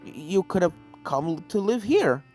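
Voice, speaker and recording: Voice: medium pitch, very animated, a little slow, darkish tone. A reader voicing a cheerful, chatty younger-brother unicorn stallion who teases, in a fantasy story over game music. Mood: friendly, teasing. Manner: chatty, teasing.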